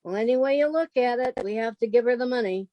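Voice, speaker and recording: A woman's voice: a little high, fast, dark tone, lightly acted, rough recording. A woman playing a sensible, exasperated roommate in an old-time radio comedy, with a wry, patient delivery. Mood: patient, instructing.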